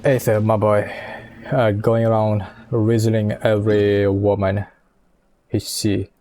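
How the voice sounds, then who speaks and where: A man's voice: on the low side, flat delivery, takes it slowly, mellow. A man giving casual, hesitant commentary as he plays a game, often in short murmured reactions. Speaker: streamer playing a game.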